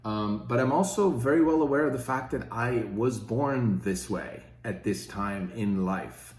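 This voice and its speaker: A man's voice: mid-range voice, measured pace, a little mellow, reverberant. A fifty-year-old man from Switzerland, talking casually and rambling with many fillers in his own opinion video. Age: 50s. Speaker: podcast host.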